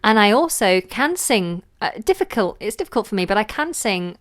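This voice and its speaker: A woman's voice: big pitch swings, normal speed, a bit bright. Chatty woman singing teacher, recovering from cold. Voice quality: low voice. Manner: chatty, loses words, many fillers. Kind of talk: live vocal feedback session.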